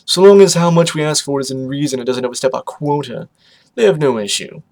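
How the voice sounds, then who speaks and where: Man acting a suave vampire stallion gentleman. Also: medium pitch, fairly quick, lightly acted. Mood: smooth, assured. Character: suave, urbane vampire pony father, male fashion connoisseur.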